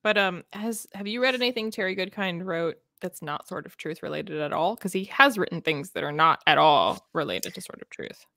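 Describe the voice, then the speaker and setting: A woman's voice: fairly low pitch, lively intonation, normal speed, vocal fry, bright, forceful tone, no echo, studio quality. A young woman chatting casually about books on a livestream, with a wry, informal way of telling things. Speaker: book discussion co-host. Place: small book-lined room at home, indoors.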